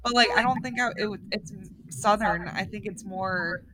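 A woman's voice: medium pitch, normal speed, a bit bright, low-quality recording. A young woman with a Pittsburgh accent, chatting casually as a podcast co-host. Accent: Pittsburgh, western Pennsylvania. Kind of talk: casual chat.

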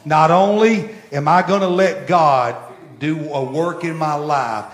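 Man in his 60s, pastor mid-sermon. Unhurried delivery, swings from loud repeats to near-hush, boomy room. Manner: emphatic, repeats phrases and swells loud, then drops very soft. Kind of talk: sermon with storytelling. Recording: echoey church room.

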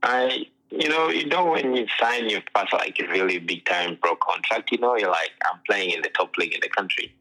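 A young man from Uganda, a pro soccer player telling his story in a relaxed interview, full of "you know" fillers, heard over what sounds like a phone line. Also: lowish voice, fairly quick, hardly any pauses. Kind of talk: casual interview chat.

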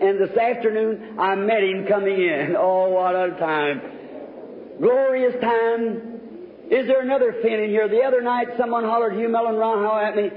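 A man preaching fervently to a revival congregation as an evangelist, exhorting his listeners. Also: high voice. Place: large reverberant meeting hall. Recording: tinny, noisy, echoing old hall recording.

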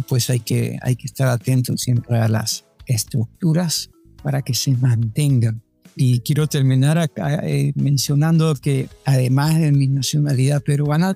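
An older man who grew up in Panama speaks as a guest, searching for words with frequent restarts. His voice is gravelly and mellow, a little high for a man. Faint music sits underneath. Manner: hesitant, many restarts and fillers. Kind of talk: relaxed interview answers.

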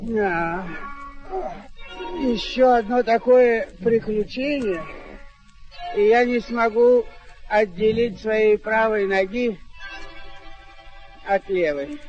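A man's voice: high-pitched, takes it slowly, pauses often. A man playing an earthy, loyal but fed-up peasant squire in a classic radio play, grumbling plaintively. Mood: weary, pitying. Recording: dull old radio recording, faint music.

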